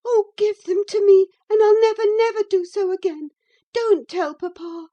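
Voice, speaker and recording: A woman's voice: steady tone, normal speed, mellow. A sobbing, pleading teenage girl. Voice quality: high, tearful, cracking.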